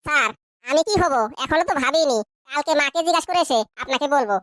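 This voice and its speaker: A woman's voice: sits high, fast talker, noisy background, close to an everyday voice. A woman voicing a meek, naive and cheeky schoolboy answering in a comic cartoon. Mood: earnest, naive. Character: cheeky, dim young schoolboy pupils, meek before teacher.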